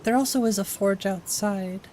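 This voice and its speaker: A woman's voice: mid-range voice, normal speed, darkish tone, slight background noise. A woman game streamer chatting casually into her XLR microphone at home, with wry, chatty asides. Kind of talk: casual gameplay chat.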